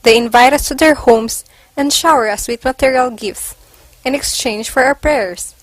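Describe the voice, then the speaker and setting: A conscientious, critical nun played by a woman on stage. Her voice is high, with a close, dry sound. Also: moderate pace, no echo. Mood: reproachful. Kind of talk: amateur stage play acting.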